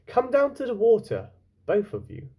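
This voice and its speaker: A man voicing a gracious female lake goddess, soft and calm, in a storybook read-aloud. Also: exaggerated voice. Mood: gentle, commanding. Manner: soft, low and calm, measured.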